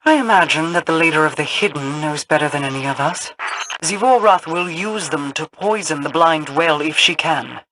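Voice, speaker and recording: A woman's voice: sits low, expressive, moderate pace, background noise. An actress playing a regal, solemn mystic queen in a fantasy video game.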